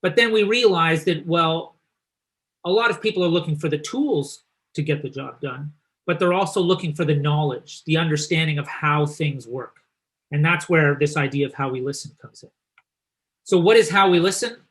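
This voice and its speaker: A man's voice: fairly high pitch, normal speed, a bit bright. A man with a Canadian accent, hosting a live online talk and presenting his company in a chatty, upbeat way. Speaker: host and company founder.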